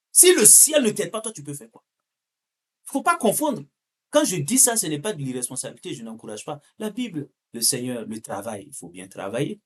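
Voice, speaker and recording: A male preacher with a husky, rough-edged voice delivers an impassioned sermon. His pitch swings widely as he exhorts listeners directly, repeating key phrases to drive them in. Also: pushed and bright. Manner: addresses listeners directly with rhetorical questions. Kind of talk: impassioned sermon with Bible reading.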